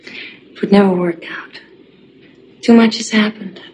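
A woman's voice: mid-range voice, steady tone, unhurried, a little mellow, slight background noise, close to an everyday voice. An actress playing a woman who sadly turns down a lover in a 1980s TV drama scene. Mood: resigned. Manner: resigned, sad. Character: woman gently refusing a lover.

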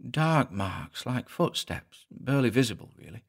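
A man's voice: mid-range voice, sing-song, moderate pace. A man voicing a meek, hesitant middle-aged innkeeper in an audio story. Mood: uneasy, halting. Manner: hesitant, plain-spoken. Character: short, meek middle-aged male innkeeper, nervous and halting.

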